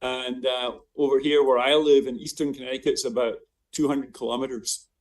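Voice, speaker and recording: A man's voice: medium pitch, flat delivery. An older man with a Scottish accent giving an informal academic lecture, often breaking off and repeating words as he goes. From Scotland. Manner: halting, with frequent self-interruptions and repeated words. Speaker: visiting professor giving a seminar. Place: remote online seminar. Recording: distant mic on online seminar.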